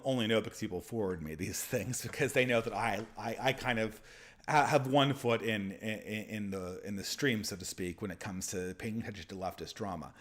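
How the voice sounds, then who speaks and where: A man with a nasal voice and a speech impediment, hosting a casual commentary monologue full of restarts and repeated words. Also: mid-range voice. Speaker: channel host.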